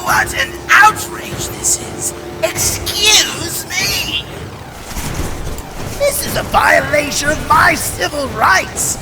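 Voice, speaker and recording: A man voicing a deranged, gleefully murderous clown villain in a comic-book drama, theatrical and mock-polite, under loud music. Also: very high voice, wildly swooping pitch, slow pace, full character voice. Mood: mock outrage.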